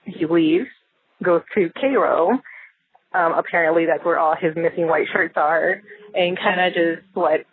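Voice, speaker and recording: A woman leaves a phone voicemail, musing aloud and admitting she is unsure. Her breathy voice comes through a thin, phone-like line. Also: lowish voice, measured pace. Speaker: listener leaving a voice message.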